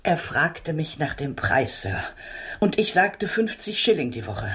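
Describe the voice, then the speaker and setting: A woman's voice: low-pitched, sing-song, a little slow, a bit bright, slightly rough, heavily acted. An actress playing an anxious, deferential working-class landlady in a German radio drama, speaking earnestly and fretfully. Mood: earnest, troubled.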